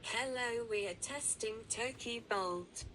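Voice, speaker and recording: A woman's voice: fairly high pitch, slow pace, over loud music. A woman's text-to-speech app voice reading out short test messages, played back through a phone speaker. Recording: very noisy phone speaker playback.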